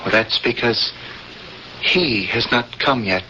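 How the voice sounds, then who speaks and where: A man's voice: mid-range voice, slow pace, bright, forceful tone, dull audio. An actor playing an earnest, resigned male alien prisoner in a 1970s radio drama, on an old hissy recording.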